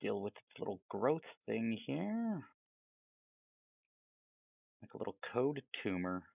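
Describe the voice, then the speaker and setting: A man giving a casual, off-the-cuff software tutorial, rambling along with chatty, self-amused asides. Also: lowish voice, sing-song, slow, gravelly, no echo, narrow, tinny audio. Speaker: tutorial presenter.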